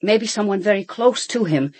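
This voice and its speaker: A calm, persistent policewoman. Her voice is husky and of middling pitch, in naturalistic radio drama style. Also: normal speed. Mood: insistent. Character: female police officer, calm, gently persistent.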